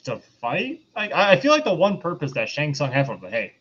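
A man's voice: a little high, wildly swooping pitch, brisk, fairly bright tone, slightly rough. A man chatting casually as a panelist on a film review podcast. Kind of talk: casual discussion. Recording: slightly dull, lo-fi voice audio.

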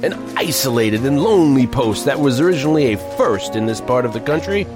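An actor playing an older male reporter who narrates a strange frontier tale in an emphatic, deliberate storytelling manner. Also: mid-range voice, lively intonation, close to an everyday voice. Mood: measured, mysterious. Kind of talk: dramatic scripted first-person narration. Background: music bed.

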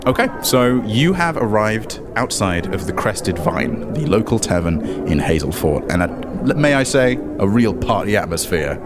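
A man with a lowish voice hosts and narrates as game master. He is playful and casual with a theatrical streak, over clearly audible music. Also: very animated, unhurried, hardly any pauses. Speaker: game master, podcast host. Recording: music under the voice.

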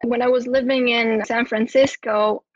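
A woman's voice: fairly high pitch, flat delivery, normal speed, no room sound, muffled recording. A woman, a therapist talking as a guest in an interview, rambling with many restarts. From Romania. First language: Romanian. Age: 30s. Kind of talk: conversational interview.

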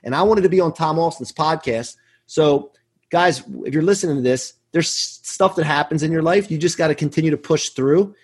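A man in his forties speaks as a podcast guest with real conviction. His voice is rough-edged and sits a little higher than average. He is self-assured and earnest, stressing his points as he tells motivational business stories. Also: a little fast. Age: 40s. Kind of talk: motivational interview talk, anecdotes.

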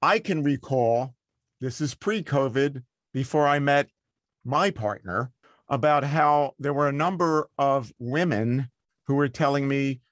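A friendly man hosts an interview, building long, thoughtful questions and reacting with warm enthusiasm. His voice is recorded close and dry, with no room sound. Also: medium pitch, unhurried, no echo. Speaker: podcast host. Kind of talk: interview hosting.

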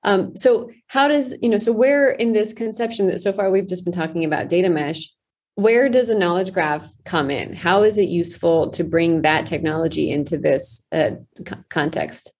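A woman guest host with a somewhat low, breathy-husky voice. She frames her questions in a loose, conversational way, tossing in "right?" and "you know". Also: moderate pace, darkish tone. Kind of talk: interview questions.

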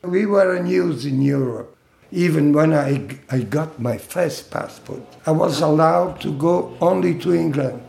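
An older man from Italy, slowly recounting his life in a halting oral-history interview. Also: on the high side, vocal fry, darkish tone, echoey room. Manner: slow, halting storytelling with fillers and laughs.

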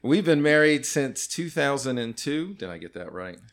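Middle-aged guy hosting a chatty podcast, goofy and relaxed, mid-pitched voice, laughs a lot. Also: normal speed. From California. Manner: jokey, loose, laughs often. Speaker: podcast host. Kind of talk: casual podcast chat.

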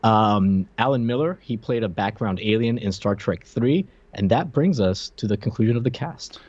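A man's voice: on the low side, animated, normal speed, darkish tone, lo-fi sound, a little hiss or hum. A man co-hosting a casual pop-culture podcast, talking in a hesitant, rambling way with joking asides. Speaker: podcast co-host.

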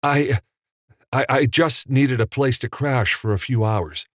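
A man's voice: lowish voice, lively intonation, a little slow, no echo, studio quality. A man voicing a nervous, starstruck film editor in an audiobook, hesitant and apologetic. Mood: flustered, awed. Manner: stammering, apologetic. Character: nervous, starstruck male trailer editor, flustered drifter.

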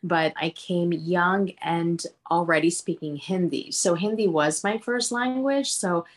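A woman guest who was born in India and grew up in Pennsylvania, telling stories from her childhood in a chatty, animated way. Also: on the low side, unhurried. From India, raised in Pennsylvania. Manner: chatty and animated, with fillers and laughs. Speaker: guest, cookbook author. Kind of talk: storytelling interview answers.

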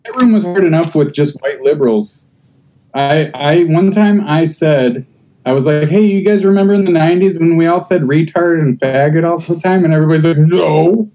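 A man's voice: on the high side, moderate pace, dark tone, poor audio. A man who does stand-up, talking casually on a podcast, blunt and riffing, sometimes mimicking how people react. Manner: blunt, riffing, acts out hecklers' voices. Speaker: stand-up comedian.

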